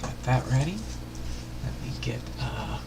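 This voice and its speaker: An older man hosting a casual livestream, talking in a folksy, rambling, unhurried way with a gravelly voice. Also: mid-range voice, expressive, heavy background noise. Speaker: livestream host.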